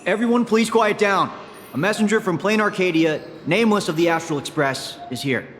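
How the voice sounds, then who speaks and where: An actor playing a polite, formal corporate assistant and host in a video game, speaking with measured courtesy. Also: male voice, high voice, a bit bright, slight background noise. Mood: formal announcing. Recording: long hall reverb, music underneath.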